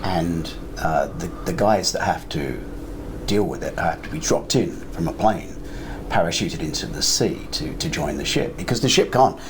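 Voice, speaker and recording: Low-voiced older man chatting over noise. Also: expressive, normal speed, creaky. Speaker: interview guest, crime writer and filmmaker. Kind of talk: casual anecdotal panel talk. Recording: noticeable ambient noise, live event.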